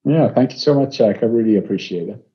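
A man in his sixties with an Iranian accent talks about himself in an interview. His delivery is halting, pausing often mid-phrase, at an ordinary male pitch. The audio is slightly dull and lo-fi. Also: lively intonation. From Iran. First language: Persian. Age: 60s. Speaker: interview guest, company executive. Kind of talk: conversational life-story interview.

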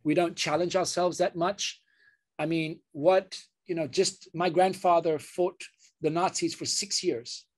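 A man's voice: on the high side, steady tone. A man, a surgeon, speaking as an interview guest in an earnest way, often restarting his sentences. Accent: British. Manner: earnest, halting with many restarts. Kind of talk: interview conversation.

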